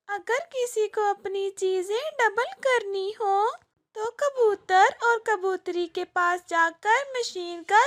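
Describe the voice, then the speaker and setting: An excitable little male parrot from a children's cartoon, played by a woman. The voice is very high and insistent, delivering loud public announcements with slow, repeated calls. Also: full character voice. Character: excitable male parrot town crier, greedy for chilies.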